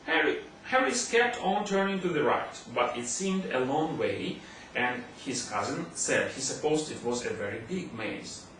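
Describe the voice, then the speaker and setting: A man's voice: mid-range voice, expressive, normal speed, fairly bright tone. A man reading a humorous classic story aloud as scripted audiobook narration. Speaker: audiobook reader. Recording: very echoey room, distant mic, faint noise.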